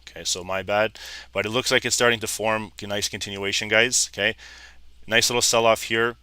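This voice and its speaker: A man in his thirties, a little hoarse, casually talking viewers through live trading charts and calling them "guys". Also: lowish voice, steady tone, fairly quick, sharp tone, dry recording. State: slightly hoarse, losing his voice. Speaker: trading educator. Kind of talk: live trading screen commentary.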